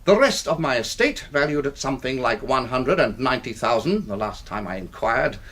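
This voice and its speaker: A man's voice: medium pitch, big pitch swings, a little fast, fairly bright tone, slightly rough. An older man with a British accent, playing an irascible, bereaved, pompous retired army colonel in a radio drama. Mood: pompous, bitter. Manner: pompous, blustering, sardonic.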